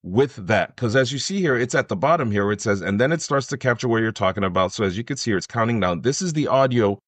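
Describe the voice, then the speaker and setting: A middle-aged man explaining software in an enthusiastic, conversational tutorial style. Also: medium pitch, expressive, fast talker, no room sound. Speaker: tutorial host.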